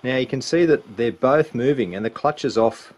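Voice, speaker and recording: A man's voice: medium pitch, moderate pace, darkish tone. A man giving an informal, step-by-step repair walkthrough at a workbench. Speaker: repair hobbyist demonstrating a belt change. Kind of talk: casual hands-on repair walkthrough.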